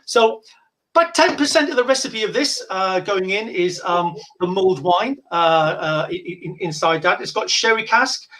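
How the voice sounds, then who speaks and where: Bright high-voiced chatty man. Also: lively intonation, moderate pace, a little hiss or hum, low-quality recording. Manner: chatty, rambling, frequent fillers and restarts. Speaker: whisky brand ambassador presenting deals. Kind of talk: casual live-stream sales chat.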